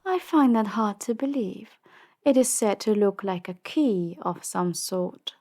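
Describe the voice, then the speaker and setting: A woman's voice: medium pitch, lively intonation, mellow. A woman playing a silky, commanding crime boss. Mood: cold menace. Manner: measured, condescending, coolly polite. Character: commanding female crime boss, silky, menacing, power-hungry. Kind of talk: audio drama roleplay acting.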